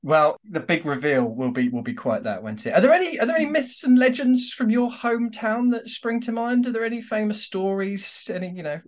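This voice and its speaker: A man's voice: high voice, brisk, hardly any pauses. A man from the United Kingdom co-hosting a podcast, telling a story in a chatty, self-deprecating way. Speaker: podcast co-host. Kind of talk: casual podcast chat.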